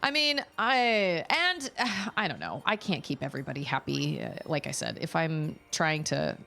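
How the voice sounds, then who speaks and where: A woman's voice: deep voice, big pitch swings, moderate pace, gravelly, pushed and bright, soft background music. A woman streamer chatting casually at home while playing a game live, thinking aloud and restarting her sentences often. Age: adult parent.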